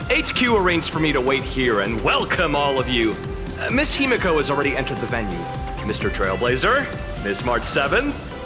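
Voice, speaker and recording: A man's voice: medium pitch, wildly swooping pitch, moderate pace, music in the background. A man voicing an eager, polite official greeter in a video game, with a courteous and effusive manner. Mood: cheerful welcome.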